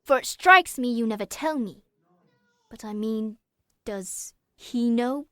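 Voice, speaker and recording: Sly, polite gothic drama schoolboy: bright, unhurried, knowing and coaxing, dry sound. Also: female voice, a little high, no room sound. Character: Miles, cunning, polite well-bred young boy. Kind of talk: gothic audio drama acting.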